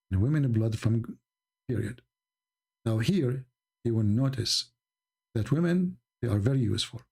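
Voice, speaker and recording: A man's voice: mid-range voice, vocal fry, darkish tone, breathy edge. A man hosting a livestream who argues with a caller in a mocking, sarcastic and impatient way. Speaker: livestream host. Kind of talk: argumentative livestream talk.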